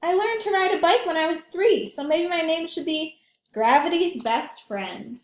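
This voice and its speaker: A woman's voice: extremely high pitch, normal speed, voice pushed up. A woman reading aloud as a spirited young boy in a storybook, earnest and emphatic. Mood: proud, eager. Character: spirited young boy longing for his own name. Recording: echoey room.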